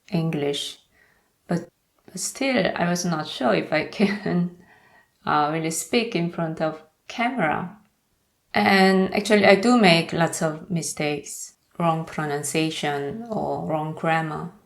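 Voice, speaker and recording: A woman's voice: low-pitched, vocal fry. A young woman with a Korean accent, talking to camera in a personal vlog with careful, hesitant delivery. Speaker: YouTuber.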